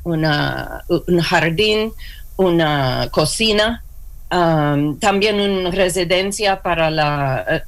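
Slow, hesitant woman searching for words. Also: deep voice, a bit bright. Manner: hesitant, many fillers and restarts, searching for words. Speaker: interview guest, clinic worker. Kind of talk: halting conversational interview.

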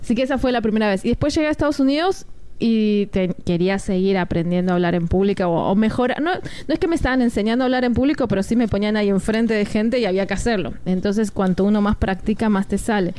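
A woman's voice: medium pitch, quick pace, dark tone, clean tone. A woman, chatting as a radio guest, talking freely with fillers and restarts. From Argentina. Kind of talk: casual radio interview.

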